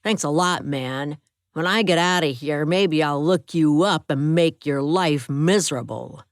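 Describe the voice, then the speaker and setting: A woman reading a gruff, menacing male hospital patient who taunts in a snide voice. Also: low-pitched, measured pace, no room sound, clean studio sound. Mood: taunting.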